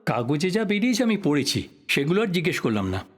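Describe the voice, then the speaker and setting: A man's voice: fairly high pitch, big pitch swings, fast talker. A man playing a polite but persistent investigative journalist in an audio drama, asking courteous questions conversationally. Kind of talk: Bengali audio drama acting.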